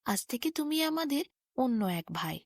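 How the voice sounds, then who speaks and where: A woman voicing a gentle, wise young prince who speaks in an earnest, measured way, as in a storybook. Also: high-pitched, big pitch swings, dry recording, studio quality, exaggerated voice. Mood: kind, inviting. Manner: earnest, measured moral counsel.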